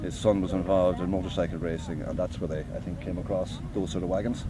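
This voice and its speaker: A man's voice: sits low, steady tone, fast. An Irish man, the campsite organiser, explaining the site in an easygoing, matter-of-fact way during an outdoor interview. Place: outdoor campsite by a running generator. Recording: outdoor field recording with generator noise.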